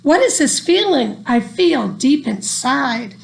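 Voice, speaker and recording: A woman's voice: high-pitched, very animated, slow pace, vocal fry, heavily acted. A woman voicing a small male seed from a children's storybook, in a pleading, dramatic manner. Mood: astonished.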